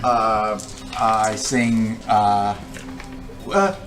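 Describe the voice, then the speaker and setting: A man's voice: medium pitch, fairly even intonation, a little slow, fairly bright tone, soft background music. A man playing a tabletop game, chatting in a casual, joking and playful way.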